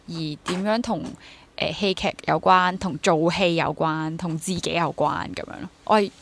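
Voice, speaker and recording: Young Hong Kong woman, conversational. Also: on the low side. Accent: Hong Kong Cantonese. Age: young adult. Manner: code-switches into English words. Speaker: interview guest, theatre actor and drama teacher. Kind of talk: casual interview conversation.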